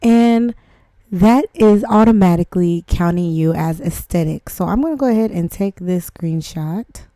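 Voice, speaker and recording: Hoarse chatty woman game tutorial host. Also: fairly low pitch, normal speed, warm tone, no echo, studio quality. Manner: chatty, rambling, self-deprecating. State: hoarse from flu, voice not back. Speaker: gaming channel host. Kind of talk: casual game tutorial narration.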